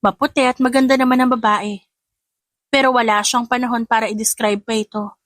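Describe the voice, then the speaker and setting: A woman with a fairly high voice narrates a scripted story. Her reading is dramatic storytelling. The recording is dry, with no room sound. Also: fairly even intonation, brisk, fairly bright tone, no echo.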